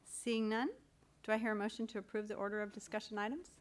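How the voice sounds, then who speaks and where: A woman speaks as the chair of a public board meeting. Her voice sits at an average pitch, and she works through formal procedure in a measured, official way. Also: flat delivery.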